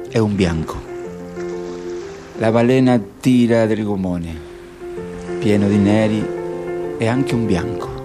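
Male actor as grave radio-play reporter, mid-pitched, very deliberate slow delivery, faint music underneath. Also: natural voice. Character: solemn male radio reporter describing poetic disasters. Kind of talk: scripted poetic radio-play narration.

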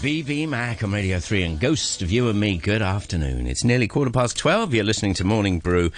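A man hosting a morning radio show, upbeat and quick with short interjections. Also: on the low side, big pitch swings, faint music behind. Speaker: radio host. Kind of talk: radio host banter. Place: radio studio.

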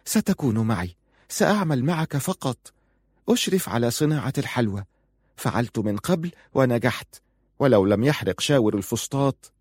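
A man's voice: on the high side, lively intonation, a little fast, darkish tone, dry recording, studio quality, voice pushed up. A man voicing a passionate, pleading and defiant young wife in audiobook dialogue, insistent and emotional. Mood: eager. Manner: insistent, emotional, bitter at times.

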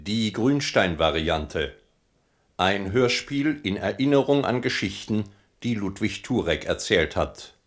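Casting: male announcer for scripted radio credits, lowish pitch, slow measured pace. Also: animated.

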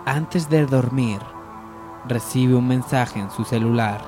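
Guy narrator for a radio soap, medium pitch, faint music under him. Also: slow.

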